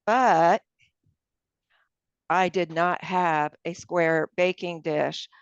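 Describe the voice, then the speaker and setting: A woman's voice: on the low side, fairly even intonation, extremely slow, clean tone, no room sound. A woman leading a cooking class, walking viewers through each step aloud as she works. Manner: friendly, explains each step aloud while working. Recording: dull lo-fi sound.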